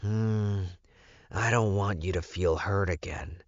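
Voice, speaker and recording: A man's voice: fairly low pitch, slow pace, no room sound, dull audio. A man voicing a caring, worried female wolf spirit companion in an audiobook. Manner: caring, protective.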